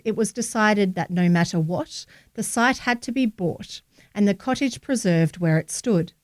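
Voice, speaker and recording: Female narrator, medium pitch, reading local print stories in a very dry acoustic. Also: a little mellow, no echo. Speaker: reader of a local newspaper article. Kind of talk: scripted narration, read aloud.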